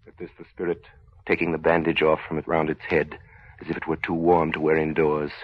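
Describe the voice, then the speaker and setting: A man's voice: a bass voice, monotone, brisk, slightly rough. A man narrating an old radio drama in a grave, suspenseful, theatrical scripted style. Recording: muffled vintage radio recording. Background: music.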